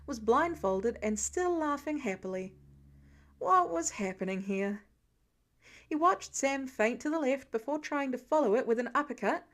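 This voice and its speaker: A woman narrates a piece of fan fiction. Her voice sits high and moves around a lot in pitch, giving the storytelling an expressive, animated feel. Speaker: podfic reader.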